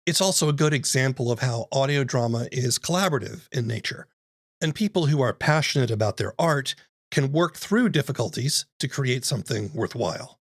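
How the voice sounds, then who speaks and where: A man's voice: medium pitch, expressive, brisk, dry recording, studio quality. A man hosting an audio drama podcast, asking questions and then reading the scripted outro plainly. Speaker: podcast host. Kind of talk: interview and show outro.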